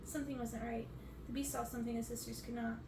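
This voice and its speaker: A woman's voice: fairly high pitch, little pitch movement, fast. A young adult woman reading a storybook aloud as scripted ASMR narration in a bedroom. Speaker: reader on an ASMR channel. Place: bedroom, indoors. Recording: roomy, noisy, distant microphone.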